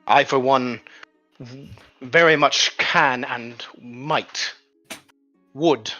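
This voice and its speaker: A man's voice: medium pitch, fairly even intonation, extremely slow, sharp tone, dull audio, soft background music. A man voicing a cautious, formal informant in a fantasy tabletop mystery, choosing his words hesitantly and wordily. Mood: guarded, earnest. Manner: hesitant, wordy, formal phrasing. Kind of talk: improvised tabletop roleplay.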